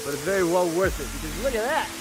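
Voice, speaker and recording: A man's voice: high-pitched, sing-song, quick pace, noisy background. Upbeat young American man vlogging travels. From United States. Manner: upbeat, chatty, exclaims often. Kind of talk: casual travel vlog narration. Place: outdoors while travelling.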